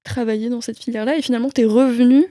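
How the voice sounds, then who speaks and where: A woman with a fairly high yet mellow voice conducts a casual interview. She sounds conversational, and the recording is dry, with hardly any room around her. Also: steady tone, rapid-fire, dry recording.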